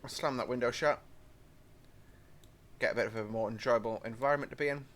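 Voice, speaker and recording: A man's voice: medium pitch, brisk, a bit bright. Young british man hosting a train game, rambling and chatty. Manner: rambling and chatty, apologetic asides. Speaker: gaming channel host. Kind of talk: casual train-sim let's-play commentary.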